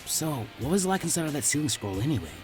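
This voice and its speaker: A man's voice: fairly high pitch, big pitch swings, fast talker, soft background music. Man doing a wry, battered teenage ninja boy. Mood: weary, wry.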